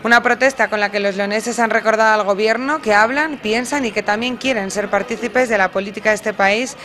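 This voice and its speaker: A woman's voice: medium pitch, steady tone, fairly quick, fairly bright tone. A woman reporter reading a scripted news voice-over in a formal, emphatic way.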